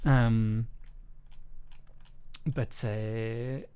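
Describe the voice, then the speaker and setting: Rambling, halting live stream host. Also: medium pitch, flat delivery, warm tone, clean tone, highs cut off. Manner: rambling, halting, often repeats words. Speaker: stream host and audio drama writer. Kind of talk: casual live stream chat.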